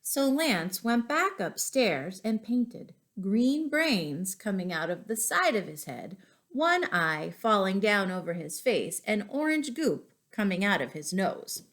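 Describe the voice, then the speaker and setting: Slow-paced woman reading to young children. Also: medium pitch. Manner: expressive, deliberate storyteller addressing young children. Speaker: children's school story-time teacher. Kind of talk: children's read-aloud of poems and picture books.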